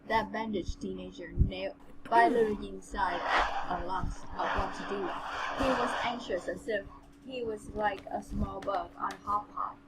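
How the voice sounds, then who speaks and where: A woman with a mid-pitched voice reads a story aloud in an amateur, slightly halting way, dropping into casual commentary. The home recording carries loud background noise. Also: steady tone. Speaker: co-host reading a novel aloud.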